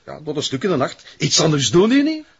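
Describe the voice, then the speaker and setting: A man's voice: a little high, sing-song, fast, vocal fry, slightly rough, highs cut off, full character voice. A man with a Flemish accent playing a blunt, defensive café owner who complains, in a crime drama. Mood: grudging.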